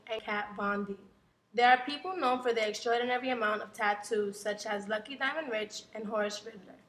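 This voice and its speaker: A woman reading a documentary narration in an even, read-aloud way. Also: on the high side, low-quality recording.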